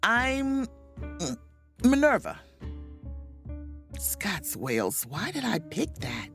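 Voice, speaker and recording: A woman as a wry, animated starship officer on leave. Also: medium pitch, slow, faint music behind, heavily acted. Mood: flustered, flirty. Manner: wry, self-interrupting, muttering asides. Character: overworked female starship chief mate on vacation, wry, awkward. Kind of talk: audio drama narration and dialogue, romantic comedy.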